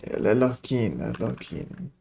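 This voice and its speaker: Creaky-voiced man, slow gameplay asides. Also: mid-range voice, animated, mellow. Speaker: game streamer. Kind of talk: casual off-the-cuff gameplay commentary.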